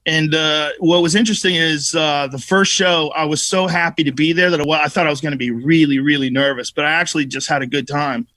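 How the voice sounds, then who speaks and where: Male comic guest, bright voice a touch high, swearing storyteller, dry studio sound. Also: fast talker, hardly any pauses, no room sound. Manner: profane, animated storytelling. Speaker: comedian guest. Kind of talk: casual podcast chat.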